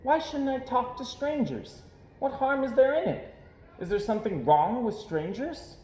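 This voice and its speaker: A man's voice: extremely high pitch, animated, moderate pace, darkish tone, roomy sound, a little hiss or hum, voice pushed up, low-quality recording. A man voicing a friendly, curious little girl bear cub from a children's storybook, chirpy and full of questions.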